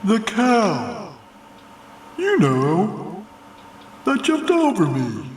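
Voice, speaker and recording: A man voicing a drowsy, hard-of-hearing old moon who mishears and drawls slowly in a storybook reading. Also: high voice, wildly swooping pitch, reverberant, a little hiss or hum, voice pushed up, full character voice. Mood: drowsy explaining.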